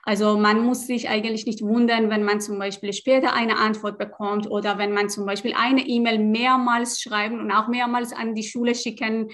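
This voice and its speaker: Hesitant young woman, slightly high voice. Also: little pitch movement, moderate pace, few pauses, a bit bright. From Iran. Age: young adult. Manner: hesitant, many fillers and restarts in a second language. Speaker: student guest. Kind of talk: casual interview answers.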